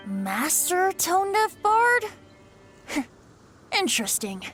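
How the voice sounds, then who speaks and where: A woman's voice: extremely high pitch, big pitch swings, very slow, full character voice. A woman playing a playful, breezy boyish young bard in a video game, with a teasing, theatrical lilt. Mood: amused, breezy. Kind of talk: video game character acting. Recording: music behind voice.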